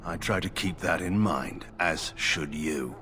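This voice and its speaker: Stern, low-voiced proud general. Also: male voice, measured pace, pushed and bright, slight background noise. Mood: stern, dry. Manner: formal, clipped, condescending. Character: stern, proud, skeptical male general and nobleman. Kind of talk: video game character dialogue.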